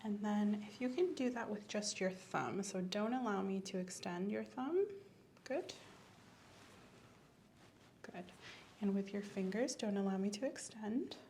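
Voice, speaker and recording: A woman hosting an ASMR roleplay as a sleep clinician, speaking softly and often slipping into whispers close to the microphone. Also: mid-range voice, a little slow, pauses often, a little hiss or hum. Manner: soft-spoken, gentle, often whispering.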